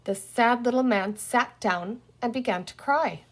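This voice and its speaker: A woman's voice: on the high side, unhurried. A woman reading a children's storybook aloud as narrator. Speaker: reader, teacher reading aloud.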